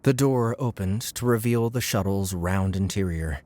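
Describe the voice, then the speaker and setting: A man's voice: low-pitched, normal speed, a little mellow. A man reading scripted fiction narration as an audiobook narrator.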